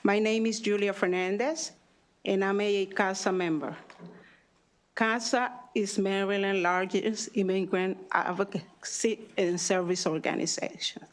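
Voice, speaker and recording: A middle-aged woman from Honduras reading prepared testimony at a hearing, slowly and carefully. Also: medium pitch, fairly even intonation. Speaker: community witness.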